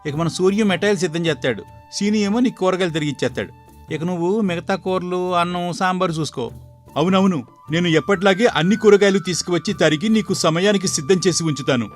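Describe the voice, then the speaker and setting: A man's voice: high voice, quick pace, faint music behind, lightly acted. A man voicing a village cook's assistant, a plain-spoken underling, in a cartoon moral story. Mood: eager, friendly.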